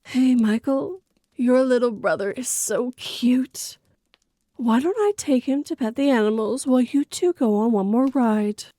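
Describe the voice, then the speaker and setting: A woman's voice: a little high, expressive, unhurried, dark tone, no echo, clean studio sound. A woman playing an eager, pushy female character in a horror story's dialogue. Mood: friendly, insistent.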